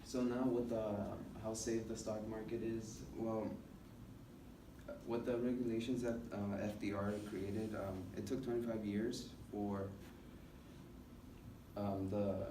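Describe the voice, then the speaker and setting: A young American man gives a student speech in a hesitant, um-filled way. His pitch is a bit low and stays quite flat. He is recorded from across a roomy space with clear background noise. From United States. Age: young adult. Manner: halting, hesitant delivery with many filled pauses. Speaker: college student giving a speech class presentation. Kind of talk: informal classroom presentation speech. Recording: roomy, noisy classroom recording from a distance.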